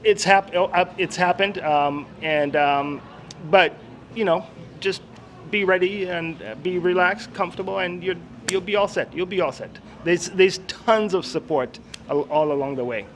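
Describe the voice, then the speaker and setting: Man, from Kenya, friendly, hesitant with fillers, slightly high voice, faint outdoor noise. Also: moderate pace, fairly bright tone. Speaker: guest. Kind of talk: casual interview chat. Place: outdoors on campus steps.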